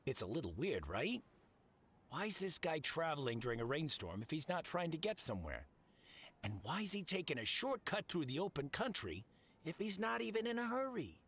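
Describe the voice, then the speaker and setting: Blunt, animated middle-aged merchant. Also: male voice, on the high side, normal speed, slightly rough, full character voice. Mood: puzzled. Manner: blunt, fatherly, expressive. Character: gruff but kindly male merchant boss. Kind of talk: video game voice acting.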